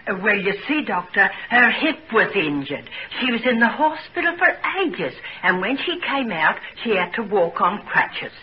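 A woman's voice: medium pitch, big pitch swings, normal speed, few pauses, close to an everyday voice. An actress playing an anxious, humble working-class mother, fretful and deferential, in 1940s radio drama style. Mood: humble, hopeful. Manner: deferential, fretful, uneducated grammar. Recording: muffled, noisy 1940s radio recording.

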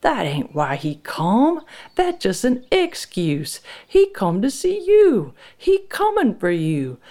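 A woman voicing an angry, suspicious ex-military husband with a loud, barking captain's manner in a narrated story. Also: low-pitched, big pitch swings, slow, full character voice. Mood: angry warning.

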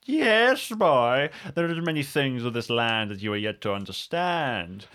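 A man's voice: medium pitch, very animated, a little slow, fairly bright tone, voice pushed down. A man voicing a crazy old self-styled sage in a tabletop game, with rambling, archaic, theatrical phrasing. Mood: grandiose.

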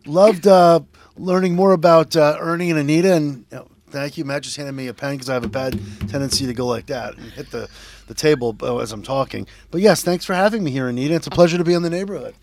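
Guy in his 50s from connecticut, kinda high voice, rambly casual radio guest, dry sound. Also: quick pace, darkish tone, no echo. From Connecticut, United States. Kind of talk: casual interview, personal storytelling.

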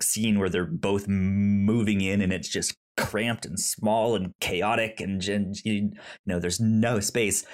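A man with a pronounced stutter co-hosting a film podcast, chatting casually in an enthusiastic, rambling way. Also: on the low side.

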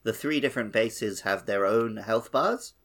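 A man's voice: on the low side, measured pace, fairly bright tone. A man co-hosting a podcast, talking about a video game in an informal, conversational way. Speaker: podcast co-host. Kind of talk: casual video game discussion.

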